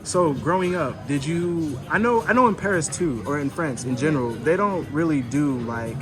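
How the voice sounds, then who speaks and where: A man's voice: a little high, expressive, normal speed, over music. A man hosting a casual interview over a meal, rambling with lots of "you know" and "like". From United States. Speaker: host.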